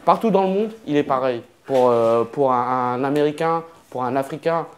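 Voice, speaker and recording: A man's voice: medium pitch, moderate pace. A young adult man, a comedian guest, talking in a casual conversation and weighing his words carefully.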